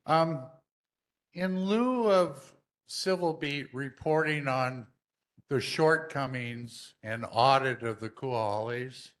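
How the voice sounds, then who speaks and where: A man speaks slowly and deliberately during public comment at a neighborhood meeting. He presses officials with pointed, skeptical questions. His pitch sits in the middle range. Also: a bit bright.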